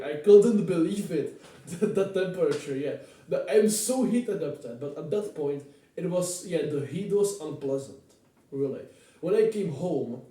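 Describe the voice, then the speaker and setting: Czech guy rambling casually to camera, really dark mellow voice, super echoey room. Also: fairly high pitch. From Czech Republic. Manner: informal, repetitive, swears casually. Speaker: vlogger. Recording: very echoey room, distant microphone.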